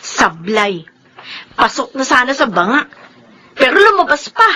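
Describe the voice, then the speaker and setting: A woman's voice: high-pitched, sing-song, unhurried, bright, forceful tone, exaggerated voice. A Filipino woman acting a bold, flirtatious, hot-tempered office schemer in a radio drama. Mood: annoyed, worried.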